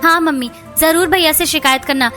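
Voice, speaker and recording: High-voiced household woman for a domestic moral cartoon played by an actress, soft music bed. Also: brisk. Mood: complaining. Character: women of a quarrelling household: daughter-in-law, mother-in-law, sister-in-law. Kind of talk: Hindi moral-story cartoon dubbing.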